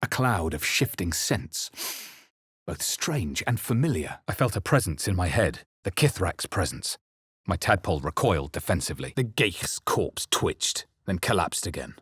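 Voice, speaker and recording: A brooding fantasy game hero with a deep, husky voice. He is grave, watchful and theatrical, and his pitch moves widely as he delivers deliberate, dramatic lines. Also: very animated, a bit bright, no echo, clean studio sound, exaggerated voice. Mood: ominous narration. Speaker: player character in a fantasy video game. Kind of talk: dramatic game voice lines and first-person narration.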